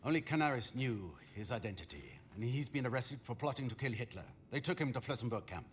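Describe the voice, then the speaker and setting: A male actor plays a weary, philosophical general from a war game. He speaks in a deliberate, courteous way, his pitch moving expressively. Faint rumbling noise sits behind him. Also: animated, natural voice. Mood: resigned. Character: captured German general, composed, philosophical, weary war strategist.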